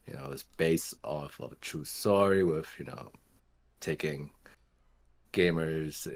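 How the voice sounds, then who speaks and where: A man with a very deep voice shares his opinions on a casual panel. His phrasing is loose and a little hesitant as he works through each point. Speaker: gaming show panelist. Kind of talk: casual chat, giving opinions.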